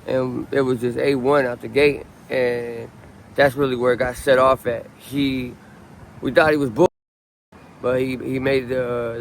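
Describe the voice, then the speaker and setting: A man in his late thirties from Southern California talks outdoors in a casual street interview. His pitch stays level and his delivery wanders with restarts and fillers. Faint city noise sits behind him. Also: gravelly. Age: 30s. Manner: relaxed, rambling, many ums and restarts.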